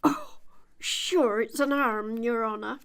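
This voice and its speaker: A woman's voice: fairly high pitch, lively intonation, takes it slowly, a bit bright, smooth, full character voice. A woman voicing a humble, deferential male servant creature in a storybook, with a folksy manner. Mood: meek, reluctant.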